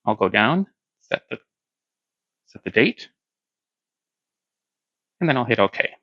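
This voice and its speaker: A man's voice: medium pitch, sing-song, takes it slowly, dry recording, dull audio. A man giving a hands-on product demonstration, explaining plainly step by step.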